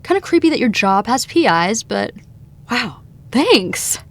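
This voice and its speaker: A woman's voice: a little high, sing-song, measured pace. An actress playing a stubborn, wounded young farm woman in a naturalistic audio drama, sardonic and determined. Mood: wry. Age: young adult. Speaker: lead actor in audio drama. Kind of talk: naturalistic audio drama acting with inner narration.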